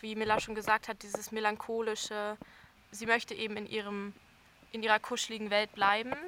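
A woman's voice: fairly high pitch, pushed and bright. A young woman, a soprano from Berlin, answering interview questions thoughtfully and searching for words. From Berlin, Germany. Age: young adult. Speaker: soprano singer.

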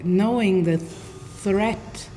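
A woman interviewer asking measured, thoughtful questions. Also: mid-range voice, mellow. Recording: faint background noise.

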